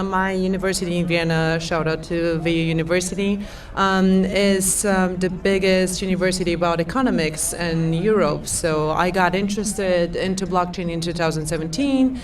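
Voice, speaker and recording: A woman panelist tells her personal story, pausing with fillers as she goes. She sits somewhat back from the microphone. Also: on the low side. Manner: hesitant, with many fillers. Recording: far from the mic.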